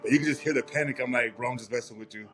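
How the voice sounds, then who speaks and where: Man, bodybuilder co-host, mid-range voice, relaxed, teasing. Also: mid-range voice, racing, slight background noise. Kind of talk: casual chat.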